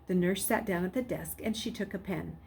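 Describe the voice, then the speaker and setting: A woman narrating a novel aloud in an expressive, dramatic storybook style. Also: lowish voice, fairly quick. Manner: dramatic, acts out the characters. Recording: clearly noisy background.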